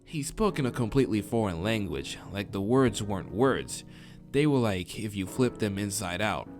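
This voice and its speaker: A man's voice: lowish voice, very animated, moderate pace, darkish tone, soft background music. A man hosting a game playthrough, reading visual novel text aloud and sometimes rereading lines he stumbles over. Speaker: gaming channel host.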